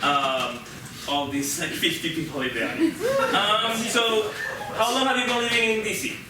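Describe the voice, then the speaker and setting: A man from Peru hosting a casual live interview on stage, speaking informally and haltingly, often repeating words as he puts his questions. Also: high voice, over a noisy crowd. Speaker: host interviewing a guest on stage. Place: live show venue with an audience of about fifty. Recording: roomy echo, distant mic, loud background noise.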